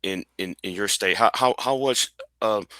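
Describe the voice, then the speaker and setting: Bright, halting Texan man. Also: lowish voice, no echo. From Texas. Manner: halting, many restarts and fillers. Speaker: interview host. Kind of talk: casual interview.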